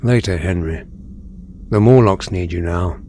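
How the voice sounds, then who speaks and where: A man acting a dignified older mutant leader in an audio drama, speaking formally and deliberately with calm authority. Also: deep voice, a little hiss or hum. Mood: grave, composed.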